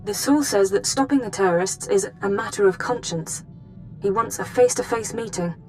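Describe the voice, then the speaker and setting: A woman's voice: mid-range voice, normal speed, darkish tone, soft background music, close to an everyday voice, low-quality recording. A woman playing a cool, businesslike intelligence officer who gives a formal, precise mission briefing in a video game.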